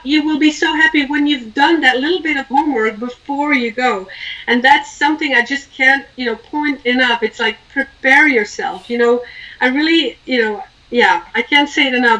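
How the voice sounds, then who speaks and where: A woman's voice: high voice, sharp tone, distant mic, low-quality recording. A woman from Belgium speaking informally as an interview guest, giving advice earnestly and emphatically and putting questions to the listener. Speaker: interview guest, expat coach.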